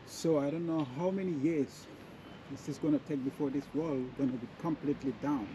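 A man with hosting a casual walking vlog, speaking in halting, repetitive phrases. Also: a little high, steady tone. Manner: repetitive, halting phrases with non-standard grammar. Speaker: vlogger and walking-tour host. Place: outdoors on a city street. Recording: outdoor street noise.

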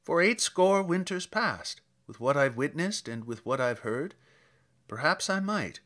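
A man voicing an old, reflective country priest in a dramatic verse reading, telling tales in a measured, plainspoken way. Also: fairly high pitch, very animated, a bit bright.

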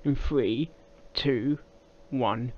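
A man's voice: medium pitch, very slow, highs cut off. A young man hosting a reaction video, interjecting casual comments and questions. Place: small cluttered room indoors.

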